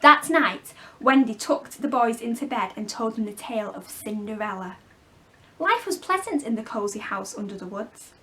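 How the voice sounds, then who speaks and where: A woman storyteller reading a children's story expressively, with clear phrasing for young listeners. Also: on the high side, lively intonation, moderate pace, pushed and bright. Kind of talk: expressive scripted storybook narration.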